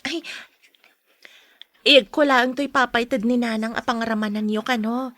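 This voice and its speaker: A friendly young Ilocano village woman in a radio drama. Her voice is high and polite, recorded dry. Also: steady tone, measured pace, a bit bright, dry recording.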